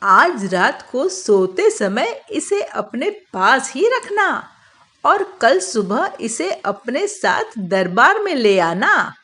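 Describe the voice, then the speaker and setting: A woman acts out a self-assured royal adviser from a children's moral cartoon. The voice is high and very expressive in pitch. Explanations come slowly and deliberately, with calm authority. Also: full character voice.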